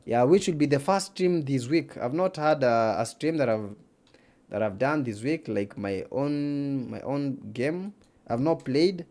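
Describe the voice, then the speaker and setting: A man's voice: medium pitch, unhurried, darkish tone. A man with an East African accent and Swahili as his first language, hosting an esports livestream in casual commentary, repeating phrases for emphasis.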